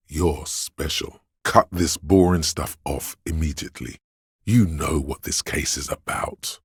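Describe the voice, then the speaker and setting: A man voicing a video game character, a seductive, hedonistic inner voice craving vice, with a gravelly, husky delivery that is sly and coaxing. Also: extremely low pitch, no echo, clean studio sound, full character voice.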